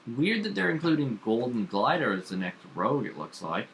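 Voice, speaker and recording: A man's voice: mid-range voice, sing-song, a little fast, a little hiss or hum. A young man co-hosting a casual chat about comics and TV, opinionated and hesitant, with frequent fillers. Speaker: podcast co-host.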